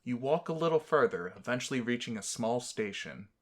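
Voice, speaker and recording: A man's voice: mid-range voice, lively intonation, brisk, a bit bright. A man reading a visual novel aloud as a gaming host, in a storytelling manner.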